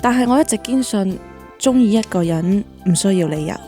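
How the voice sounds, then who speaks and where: An actress playing an earnest, easily flustered young woman in a radio drama, girlish and expressive, with a Hong Kong Cantonese accent. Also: medium pitch, brisk, faint music behind, close to an everyday voice. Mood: tender, reflective. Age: young adult. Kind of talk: radio drama acting with inner monologue.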